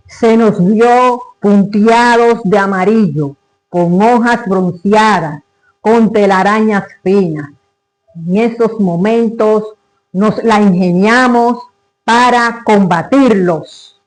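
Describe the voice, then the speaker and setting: Male reciter for a dramatic literary monologue: high register, unhurried, solemn and declamatory delivery. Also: poor audio.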